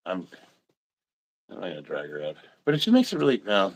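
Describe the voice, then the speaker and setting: A congested man in his fifties, short of breath, chatting casually on a livestream, swearing and often clearing his throat. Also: low-pitched, wildly swooping pitch, brisk. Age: 50s. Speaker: livestreaming powerlifter.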